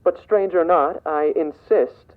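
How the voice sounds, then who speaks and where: An actor playing an insistent, coolly threatening mystery villain with formal speech, in old radio serial style. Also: male voice, on the high side, takes it slowly. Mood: coolly menacing. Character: menacing, insistent male mystery visitor, smoothly blackmailing villain. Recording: tinny old wire recording playback.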